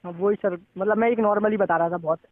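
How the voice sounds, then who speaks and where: Over a tinny phone line comes a high-pitched man with an Indian accent, talking fast. He is deferential and agreeable, chatting casually as the one being pitched and often saying sir. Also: steady tone, no room sound. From Gwalior area, India. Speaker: prospect being pitched on a call. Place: phone call.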